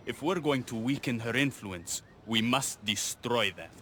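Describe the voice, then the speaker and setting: A man playing a smooth-talking, lovestruck rebel with a dramatic, deliberate manner in a video game cutscene. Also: mid-range voice, bright, forceful tone, slight background noise. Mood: serious, urgent.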